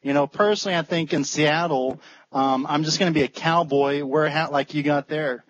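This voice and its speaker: A man's voice: a little high, fairly even intonation, fairly quick, a bit bright. A man chatting casually and joking on a late-night video call, sometimes stumbling over his words. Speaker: fellow speaker on a video call. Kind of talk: casual chat. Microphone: webcam on a video call. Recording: dull lo-fi video call audio.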